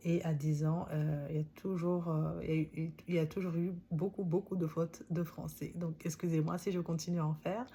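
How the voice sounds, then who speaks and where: A woman's voice: sits low, level tone, fairly quick, few pauses, dark tone. A woman in her thirties, not a native French speaker, chatting directly to viewers as she presents a book summary. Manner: chatty, addresses viewers directly, laughs often. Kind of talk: casual talk to camera.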